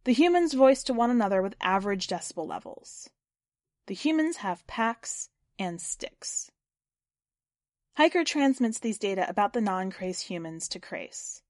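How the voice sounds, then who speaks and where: A woman's voice: mid-range voice, expressive, measured pace, darkish tone, no room sound, studio quality. A woman reading scripted fiction and hosting a story podcast. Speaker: podcast host and story reader.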